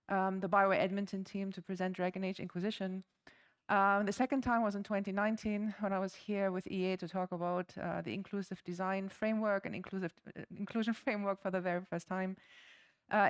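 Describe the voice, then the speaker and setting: A woman in her forties with a German accent presents at a conference to a live audience. She talks in a friendly, chatty way and pads her sentences with ums and uhs. Her voice is smooth and clear, at a middling pitch. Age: 40s. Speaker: conference speaker.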